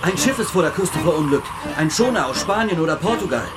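An actor playing an excitable townsman in a radio play, urgent and theatrical. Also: high voice, fast talker, background noise. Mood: alarmed, urgent. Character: excitable male townsman bringing news of shipwreck. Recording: radio drama with prominent musical underscore.

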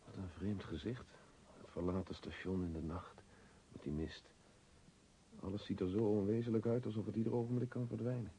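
A man's voice: fairly low pitch, steady tone, fairly quick, mellow, natural voice. An actor playing an impatient young man in a radio play, soothing his anxious fiancée while exasperated and dismissive. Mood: soothing, distracted. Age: young adult. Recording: old radio recording with faint noise.

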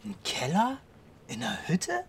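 A woman's voice: medium pitch, wildly swooping pitch, a little slow, gravelly, slight background noise, heavily acted. A woman playing an observant, knowing, scornful teenager in a naturalistic radio drama.

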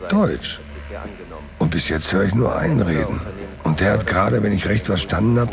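An actor playing a wry, streetwise accomplice in crime, dry and colloquial, in a German radio drama. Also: male voice, fairly low pitch, gravelly. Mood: dry, hushed. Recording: music behind the voice. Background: music.

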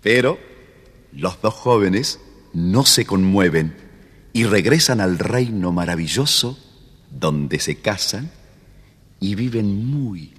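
A man's voice: lowish voice, animated, slow pace, pauses often, breathy edge, big-room sound. A man narrating a children's story in a scripted, dramatic storytelling style.

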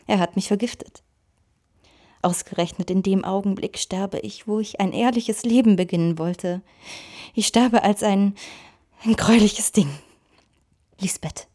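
An actress playing a dying, remorseful, God-fearing woman in a radio drama, weak and solemn, her breathy voice often falling to a whisper. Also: medium pitch, warm tone, lightly acted. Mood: dying, pleading.

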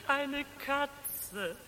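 A woman's voice: high-pitched, lively intonation, drawn-out words, sharp tone, a little hiss or hum, full character voice. A woman acting a mocking, cruelly amused figure in a crime radio play.

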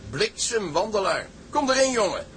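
High-pitched, hearty sailor. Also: male voice, sing-song, normal speed, a bit bright, slight background noise. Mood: excited, welcoming. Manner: hearty, familiar. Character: excitable, hearty sailor mate. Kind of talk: Dutch audio drama acting.

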